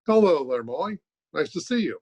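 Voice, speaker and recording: Man acting a grumpy, higher-voiced town doctor. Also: big pitch swings, brisk, darkish tone, slightly rough, exaggerated voice. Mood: sarcastic. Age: middle-aged. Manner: dry insults, exasperated, grudging. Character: grumpy sarcastic middle-aged male town doctor. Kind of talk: 1950s radio comedy acting.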